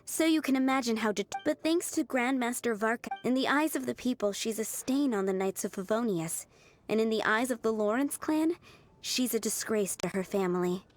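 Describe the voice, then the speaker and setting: A woman voicing a kind, earnest young townswoman with a gentle, explanatory manner in a video game. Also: on the high side, normal speed, lightly acted. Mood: earnest, caring. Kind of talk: game dialogue.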